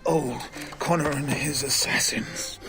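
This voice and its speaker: A man's voice: a little high, steady tone, slow pace, music in the background. An actor playing a bitter, accusing man in a historical video game drama. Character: indignant man confronting a traitor, bitter and accusing.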